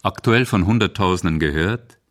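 A man reading scripted radio documentary narration in a measured, articulate way. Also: low-pitched. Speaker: feature narrator.

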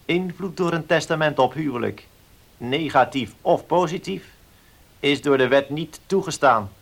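An actor playing a formal notary in a Dutch radio play, speaking precisely and with measured care. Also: male voice, fairly high pitch, vocal fry. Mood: calm, firm. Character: formal, measured male village notary reading a will.

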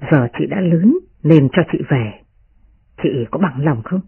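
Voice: mid-range voice, expressive, measured pace, vocal fry, husky, narrow, tinny audio, exaggerated voice. A performer voicing an impulsive young master of the household, petulant and insistent, in a storybook reading. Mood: exasperated.

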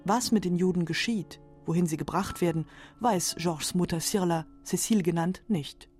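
A woman narrating a scripted radio documentary in a measured, matter-of-fact way. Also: on the low side, music in the background.

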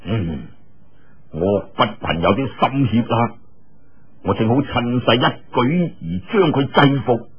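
A man's voice: medium pitch, animated, takes it slowly, husky, lo-fi sound, voice pushed down. A man voicing a suspicious, calculating martial-arts sect master muttering his inner thoughts in Cantonese, in an audio storybook. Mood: smug, calculating.

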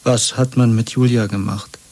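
An actor playing an exhausted, broken prisoner under torture in a radio play, halting and submissive, with a breathy voice. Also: male voice, medium pitch, steady tone, darkish tone, natural voice. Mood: weak, searching. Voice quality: husky, breathy. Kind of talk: 1970s German radio play acting.

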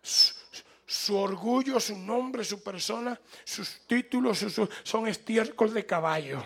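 A man's voice: sits high, unhurried, creaky, husky. A man preaching a fervent sermon as a radio preacher. He stresses points by repeating them and calls on listeners to respond. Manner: fervent and emphatic, with repetition and rising exhortation. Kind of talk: evangelical sermon.

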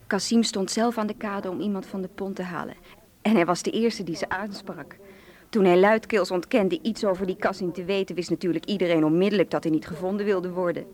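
Actress doing cool flirty villain sister, amused and ruthless, teasing, radio play. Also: female voice, medium pitch, fairly even intonation, fairly quick, darkish tone, close to an everyday voice. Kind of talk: radio drama acting.

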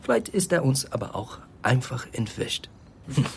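An actor playing an affable but guarded male embassy official in a naturalistic radio play, speaking politely and in a measured way. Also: medium pitch, sing-song, creaky, a little hiss or hum. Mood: matter-of-fact, guarded. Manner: polite, measured, stumbling over a foreign place name.

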